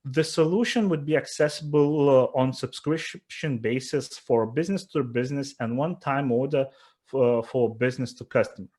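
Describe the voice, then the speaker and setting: A man with a Lithuanian accent giving an earnest startup pitch presentation, with frequent fillers and restarts. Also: moderate pace, a little mellow, husky.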